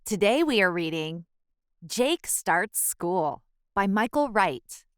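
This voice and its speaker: A woman reading a children's picture book aloud in a measured, expressive storytelling manner. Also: mid-range voice, smooth, dry recording, studio quality. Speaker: children's book reader and channel host.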